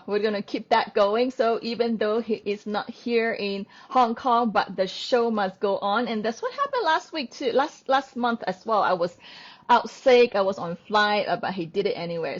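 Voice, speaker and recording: Woman with a higher voice doing a chatty livestream, lots of uh and so, kinda muffled lo-fi sound. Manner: rambling, many fillers and restarts. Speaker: livestream host. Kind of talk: casual live-stream chat.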